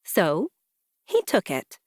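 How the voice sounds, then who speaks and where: A woman reading a children's story aloud with deliberate, rhythmic, emphatic phrasing. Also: fairly low pitch, wildly swooping pitch, darkish tone, no room sound, clean studio sound. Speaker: storybook reader. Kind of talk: expressive children's story narration.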